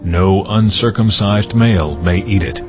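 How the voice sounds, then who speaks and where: A male actor as a solemn male deity in an audio scripture drama. The voice is low, deliberate and commanding, issuing laws with gravity. The sound is slightly dull, with music clearly playing underneath. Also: natural voice.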